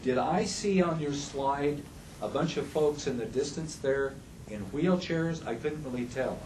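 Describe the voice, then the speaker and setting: A man in the audience asking a question, halting and opinionated. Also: fairly high pitch, a little mellow, slight background noise, poor audio.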